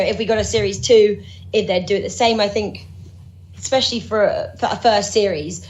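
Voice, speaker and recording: Chatty woman sports presenter from Norwich. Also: mid-range voice. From Norwich, England. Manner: chatty, upbeat, many fillers and restarts. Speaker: sports presenter, interview guest. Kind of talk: casual interview chat.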